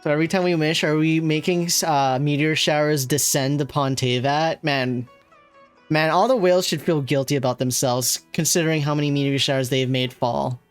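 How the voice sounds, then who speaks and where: A woman gamer with a low voice reacts casually to the game in front of her. She muses aloud and jokes along, with faint music in the background. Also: steady tone, moderate pace. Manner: joking, musing aloud. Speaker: gaming streamer.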